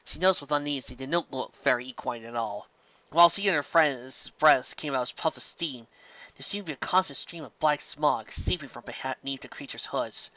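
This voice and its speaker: Storyteller for fantasy fiction: sharp, forceful tone, dry and slightly dull home recording. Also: mid-range voice, normal speed, no echo. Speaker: storyteller reading fan fiction aloud. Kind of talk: dramatic scripted story narration.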